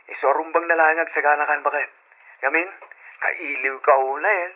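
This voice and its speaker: A man's voice: a little high, fairly even intonation, normal speed. A man with a Filipino Ilocano accent playing an eager, affectionate husband phoning from away in an old-time radio drama, through a telephone filter. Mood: affectionate, excited. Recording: tinny phone line in old radio drama.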